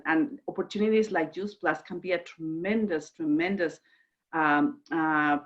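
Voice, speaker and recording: A woman's voice: deep voice, muffled recording. A woman from Mexico with a Mexican Spanish accent, speaking as a guest on a conference call in an enthusiastic, chatty way with frequent fillers. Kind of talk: casual conference call chat. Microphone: video call.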